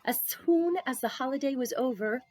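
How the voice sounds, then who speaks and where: A woman reading a children's story aloud in an expressive narrating style. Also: high voice, normal speed, a little hiss or hum. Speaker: storybook reader.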